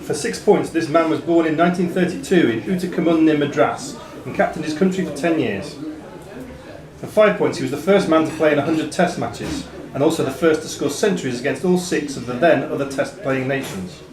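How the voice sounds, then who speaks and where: A man reading quiz questions to players as quizmaster, in a measured, formal way with dry asides. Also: on the high side. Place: pub room during a live quiz. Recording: echoey pub room, chatter behind.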